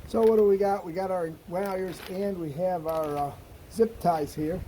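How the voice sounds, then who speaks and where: High-pitched man, noisy outdoor how-to vlog. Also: a little slow. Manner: enthusiastic, folksy, talking while working. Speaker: host of a DIY Jeep project channel. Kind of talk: casual hands-on how-to vlog. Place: outdoors beside a vehicle, windy.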